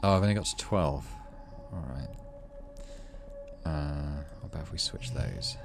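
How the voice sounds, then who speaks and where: A man hosting a gaming video, reading story text aloud and adding low-key, muttered asides in casual commentary. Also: a little slow, creaky, music in the background. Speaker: gaming channel host.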